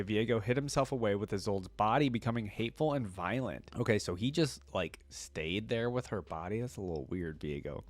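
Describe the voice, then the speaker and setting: A man's voice: mid-range voice, dry recording. Man with dry, close-up sound, casual reactor. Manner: conversational asides on what he reads. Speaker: reaction video host. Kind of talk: casual reaction commentary, reading lore aloud between remarks.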